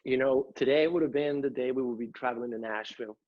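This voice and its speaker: A man's voice: mid-range voice, quick pace, dry recording, highs cut off. A young man from Ecuador, a college tennis coach, answering interview questions from home, speaking earnestly with many fillers and restarts. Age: young adult. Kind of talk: interview over video call.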